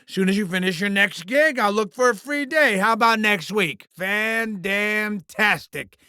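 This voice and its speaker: An actor playing a sleazy, bloated alien TV producer in a video game, with smarmy, pompous, wheedling showbiz patter. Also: male voice, high-pitched, a little slow, pushed and bright, clean tone, dry recording, studio quality. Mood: slick, ingratiating. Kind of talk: theatrical video game character acting.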